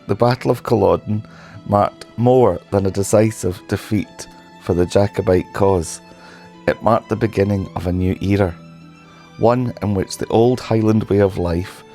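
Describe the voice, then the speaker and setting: A man with a sore, hoarse throat narrating a history documentary in a solemn, measured way. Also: on the low side, dark tone. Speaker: history channel host.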